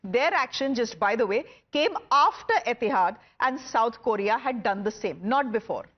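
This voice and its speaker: High-pitched Indian woman news anchor, emphatic. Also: lively intonation, dull audio. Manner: emphatic, rhetorical questioning with dramatic pauses. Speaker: TV news anchor and debate host. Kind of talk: scripted news monologue to camera.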